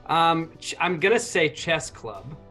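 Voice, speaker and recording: A man's voice: on the high side, lively intonation, measured pace, pushed and bright. A man rambling and joking casually as a player on a roleplaying stream. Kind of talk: casual chat, self-introduction. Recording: faint guitar music behind. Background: guitar music.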